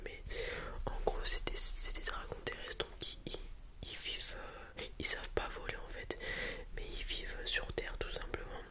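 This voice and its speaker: A man speaking in a soft breathy whisper, improvising an informal ASMR roleplay explanation with halting, hesitant delivery. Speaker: ASMR roleplayer playing a dragon breeder.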